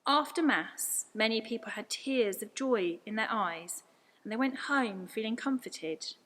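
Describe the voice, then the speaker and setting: Woman, storyteller, scripted read-aloud narration, bright voice. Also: a little high, expressive, measured pace. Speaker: story reader.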